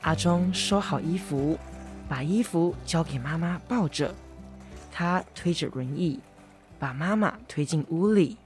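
A man's voice: fairly high pitch, a little slow, faint music behind. A teenage boy reading scripted narration for a radio drama story.

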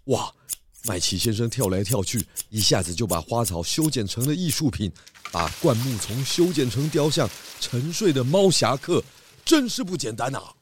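A man's voice: mid-range voice, lively intonation, normal speed, slight background noise, lightly acted. A man playing an exasperated but sensible pig detective in a children's audio drama, scolding and exclaiming. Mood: impressed.

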